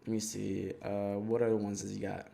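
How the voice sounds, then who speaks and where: A man hosting a livestream, chatting casually and reacting out loud while reading viewer comments and talking back to them. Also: fairly low pitch, brisk, creaky. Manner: loose and excitable, reads chat comments aloud and talks back. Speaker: livestream host. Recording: loud rap music playing under the voice.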